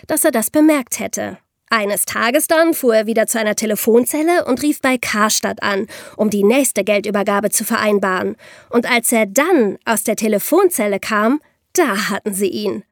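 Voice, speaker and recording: Higher-voiced young woman explaining crime cases. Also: no room sound, clean studio sound. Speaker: podcast host explaining true crime cases. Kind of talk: conversational explanatory storytelling.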